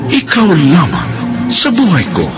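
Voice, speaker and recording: A man's voice: high voice, huge pitch swings, unhurried. A man giving a dramatic scripted introduction as a radio drama announcer. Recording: loud theme music.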